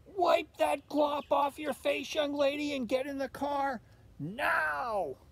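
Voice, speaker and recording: A man's voice: very high voice, takes it slowly, creaky, a bit bright, no echo, pitched above the performer's own voice, exaggerated voice. A man voicing a patient but firm mother of young children in a picture-book read-aloud. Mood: stern, cross. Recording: outdoor recording with background noise.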